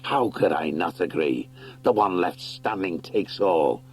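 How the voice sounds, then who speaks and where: A man's voice: sits low, unhurried, a little mellow. Wildcat conqueror villain for fantasy audiobook, male reader acting: proud, smug, scheming, grand in his speech. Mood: proud challenge.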